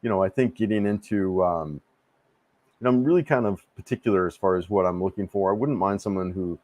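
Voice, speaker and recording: A man's voice: low voice, a little fast, darkish tone, dry recording. A man in his fifties hosting a casual live stream, rambling and restarting sentences in a laid-back, friendly way as he answers chat. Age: 50s. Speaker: livestream host answering chat. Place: home room.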